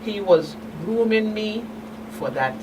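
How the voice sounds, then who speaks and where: A middle-aged woman giving candid personal testimony as an interview guest, speaking haltingly with emphatic turns. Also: medium pitch, lively intonation. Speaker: guest, survivor and advocate. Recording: distant mic, noisy room hum.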